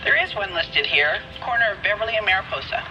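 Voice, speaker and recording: An actor playing a formal, informative clerk in a crime game, heard through a telephone-style filter. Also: male voice, high-pitched, animated, fast, lo-fi sound, slight background noise. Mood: formal, helpful. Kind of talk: dramatic game cutscene acting.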